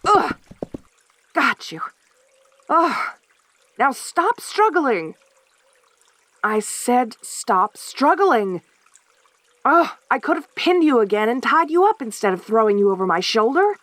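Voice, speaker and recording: A woman playing a lonely, possessive centaur girl in a soft-spoken audio roleplay, with a halting, stammering delivery. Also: fairly high pitch, very animated, no room sound, full character voice. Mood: desperate, forceful.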